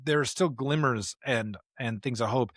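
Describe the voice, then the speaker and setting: A man's voice: mid-range voice, moderate pace, fairly bright tone, no echo, studio quality. An American man co-hosting a conversational scripture podcast, speaking in an earnest, reflective, preacherly way with rhetorical questions. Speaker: podcast co-host.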